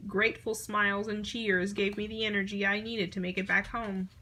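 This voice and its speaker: A woman reading a children's picture book aloud in an expressive storytelling manner. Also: mid-range voice, moderate pace, slight background noise, low-quality recording. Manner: expressive storytelling, acting out short exclamations.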